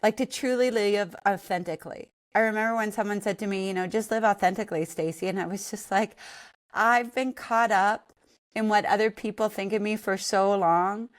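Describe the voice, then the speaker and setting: A woman's voice: mid-range voice, normal speed. Chatty middle-aged woman host. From Canada. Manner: conversational, wanders off on tangents, self-correcting. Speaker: podcast host. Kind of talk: unscripted solo podcast monologue.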